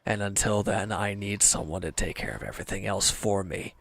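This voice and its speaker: A man playing a wounded, defeated villain's henchman in an intimate audio roleplay, bitter, defensive and despondent, halting and sometimes dropping to a near-whisper. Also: on the low side, vocal fry, fairly bright tone, no room sound. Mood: defensive, irritable.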